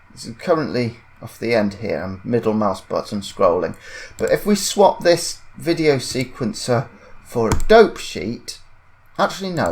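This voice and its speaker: A man giving an informal software tutorial, thinking aloud and correcting himself in a hesitant way. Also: medium pitch. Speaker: tutorial presenter.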